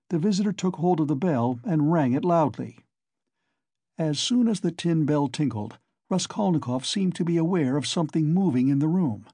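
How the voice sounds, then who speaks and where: A man's voice: a little high, warm tone, no echo. A man narrating a literary audiobook in a scripted reading style.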